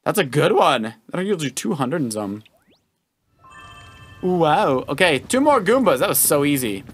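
A man's voice: on the high side, sing-song, a little slow, husky. A middle-aged man giving casual, encouraging gameplay commentary like a coach at the player's side. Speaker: dad co-hosting a Let's Play. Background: loud video game music.

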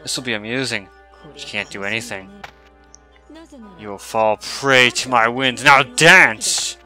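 A man's voice: medium pitch, unhurried, sharp tone, faint music behind. A man voicing a taunting, sinister female wind demon, reading game dialogue in a menacing, theatrical way.

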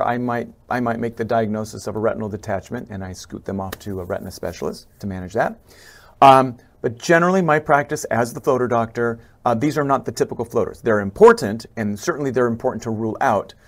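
A middle-aged man, an eye doctor, talks through a slide lecture from his office. His speech is casual and full of fillers, with frequent restarts. His voice sits at an ordinary pitch. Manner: conversational, many fillers and restarts. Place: indoor office, webcam inset over slides.

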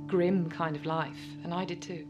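An actress playing a bitter, scornful widow in an audio drama monologue, blunt and confessional with halting moments. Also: female voice, fairly low pitch, level tone, muffled recording, over music, close to an everyday voice. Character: bitter, scornful second wife, now widowed.

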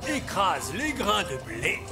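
A man's voice: high voice, wildly swooping pitch, slow pace, music in the background, exaggerated voice. A man playing a wise, fussy old elf who explains things emphatically in a cartoon. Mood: instructive. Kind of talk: animated cartoon dialogue in French dub.

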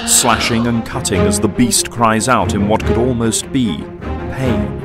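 Casting: male narrator, lowish and grave, dramatic superhero storytelling over very loud score. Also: a little slow, loud music behind the voice.

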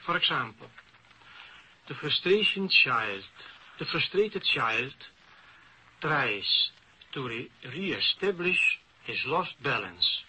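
A man with a Dutch accent giving formal academic panel remarks in careful, halting phrases. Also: medium pitch, sing-song, sharp tone. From Netherlands. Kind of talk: formal prepared symposium remarks. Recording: noisy vintage radio recording.

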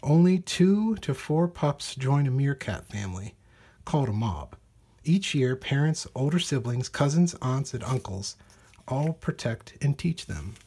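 A man reads a children's nature book aloud. His voice sits at a middling pitch with a noticeably breathy, husky grain. He phrases each sentence deliberately, as narration for young listeners. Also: very animated, mellow. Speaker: storybook reader. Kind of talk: read-aloud children's nonfiction storybook narration.

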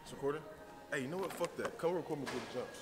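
A man's voice: mid-range voice, quick pace. A young man vlogging a workout with friends in a large indoor gym, joking and trash-talking between sets. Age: young adult. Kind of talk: casual banter at the gym. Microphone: handheld camera nearby. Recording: gym music behind the voice. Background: music playing.

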